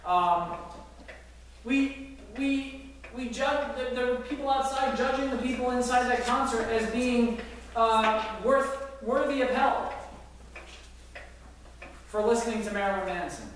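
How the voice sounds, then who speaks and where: A man's voice: very high voice, measured pace, long pauses, a bit bright, very echoey, a little hiss or hum. A man preaching a sermon in a church, telling a story earnestly with some fillers, recorded from far back in the church. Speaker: pastor. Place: church sanctuary with congregation. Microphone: far camera at back of church.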